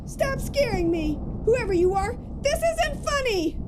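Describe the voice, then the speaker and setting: A woman's voice: extremely high pitch, very animated, a little slow, noisy background, heavily acted. A woman playing a bold but easily rattled female reporter in an audio drama. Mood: scared, defiant. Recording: music behind the voice.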